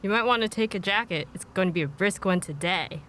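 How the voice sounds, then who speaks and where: A woman's voice: medium pitch, fairly quick, fairly bright tone, natural voice. Casting: actress as fussy, chatty female neighbour, audio drama, slight noise behind her. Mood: chatty, fussing.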